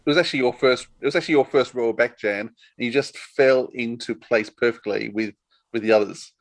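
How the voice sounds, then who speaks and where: A man's voice: medium pitch, brisk, no echo. A man hosting a casual podcast interview, asking questions in a conversational, inquisitive way.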